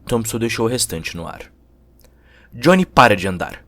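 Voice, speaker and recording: A man's voice: lowish voice, very animated, moderate pace, a bit bright, no room sound. A man with a Brazilian Portuguese accent giving dramatic scripted narration of a cyberpunk story. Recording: music under the voice.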